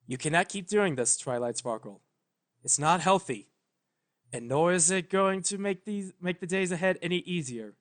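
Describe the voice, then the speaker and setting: A man voicing a solemn, regal pony princess who speaks formally, measured and gently firm, in a story reading. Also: sits high, animated. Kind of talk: dramatic fan fiction reading.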